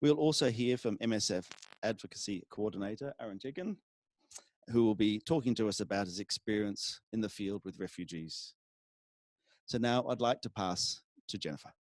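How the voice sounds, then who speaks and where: A man opens a webinar and introduces its speakers. His voice is low and the recording is dry and close. He reads the introductions evenly. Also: normal speed, dry recording. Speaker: webinar moderator.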